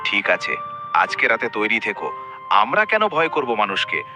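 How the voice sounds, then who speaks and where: Male cartoon voice, theatrical, wild pitch swings, music under. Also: wildly swooping pitch, moderate pace. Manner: exaggerated, theatrical. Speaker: narrator and voice actor. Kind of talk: cartoon voice acting and storytelling. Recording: voice-over with music.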